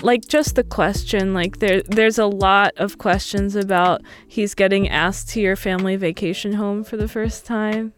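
A woman's voice: mid-range voice, fairly even intonation, normal speed, hardly any pauses. A woman talking casually and thoughtfully, giving commentary with plenty of "you know" and "like". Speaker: podcast producer and guest commentator.

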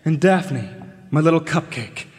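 Casting: male actor as slimy ladies' man, high lively voice, mock-sweet and smug, radio play in a resonant hall. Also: measured pace. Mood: smug, effusive. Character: oily, flamboyant, smug suitor, uninvited charmer. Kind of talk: live radio drama acting. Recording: live concert hall with reverb.

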